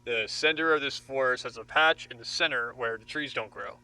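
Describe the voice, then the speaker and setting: A man's voice: medium pitch, fairly quick, sharp tone, clear, resonant tone, low-quality recording. An actor in an audio drama playing a frightened, defiant young man held captive, with an agitated, confrontational manner. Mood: subdued.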